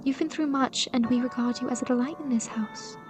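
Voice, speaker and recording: A woman playing a kind, devoted young gentlewoman in a period audio drama, speaking with earnest, polite formality. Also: high voice, fairly even intonation, brisk, music in the background, natural voice. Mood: gently insistent. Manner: polite, formal, earnest old-fashioned speech.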